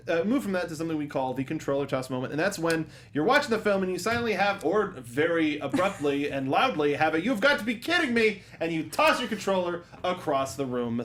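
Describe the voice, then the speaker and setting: A man's voice: a little high, a bit bright, slight background noise. A man hosting a casual movie review chat, explaining things in a jokey way. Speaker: talk show host.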